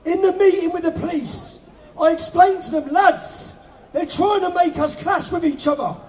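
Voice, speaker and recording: A man shouting an impassioned speech to a street rally crowd through a stage microphone. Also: very high voice, rough recording. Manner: shouting to a crowd, hoarse-edged. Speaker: rally organiser speaking on stage. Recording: live outdoor rally with crowd. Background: crowd noise.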